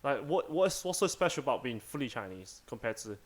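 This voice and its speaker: A young man with a mid-range voice co-hosts a podcast. He talks in a relaxed, easygoing way and explains things at an unhurried conversational pace. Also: mid-range voice. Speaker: podcast co-host. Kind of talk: casual chat.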